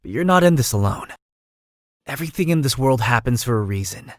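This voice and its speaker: A young man voicing a gentle, sentimental exiled prince in a video game, speaking in a heartfelt, boyish, self-conscious way. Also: mid-range voice, animated, a little fast, no echo, studio quality, close to an everyday voice. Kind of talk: video game character acting.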